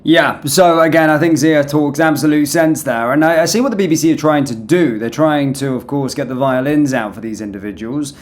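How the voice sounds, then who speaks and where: Higher-voiced man, casual commentary. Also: a little fast, few pauses. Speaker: YouTube host reacting to clips.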